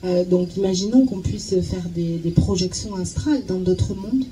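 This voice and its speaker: A woman's voice: fairly low pitch, normal speed, soft background music, low-quality recording. A woman working as a live interpreter, hesitant, often repeating words as she searches for the phrasing. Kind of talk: consecutive interpreting. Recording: clear steady background noise.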